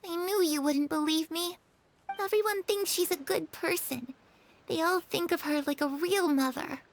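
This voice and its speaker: A woman voicing a lonely, wistful young girl in a video game, speaking softly and confidingly. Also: extremely high pitch, moderate pace, slight background noise. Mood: bitter. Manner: soft, dreamy, confiding. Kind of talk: video game cutscene dialogue.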